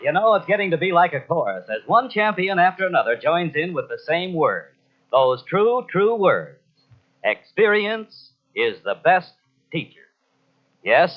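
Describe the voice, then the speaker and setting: An American man delivering a polished, emphatic 1940s radio commercial as an announcer. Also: on the high side. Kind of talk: 1940s radio cigarette advertising read. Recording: dull old radio recording from cassette.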